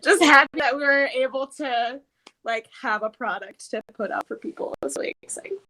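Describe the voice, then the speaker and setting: A woman's voice: high-pitched, a little fast, pushed and bright, low-quality recording. A woman actor chatting casually and animatedly in an interview, with giggly, hesitant phrasing. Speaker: stage and voice actor. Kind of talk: casual chat, interview answers.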